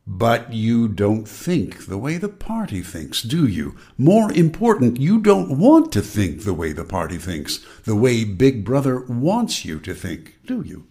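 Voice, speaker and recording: An actor playing a grave, knowing voice in a radio drama, speaking deliberately and theatrically. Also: male voice, medium pitch, wildly swooping pitch, warm tone, slightly rough, exaggerated voice. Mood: needling, insinuating. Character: grave narrator and needling, knowing inner voice. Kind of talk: dramatic radio play narration and acting.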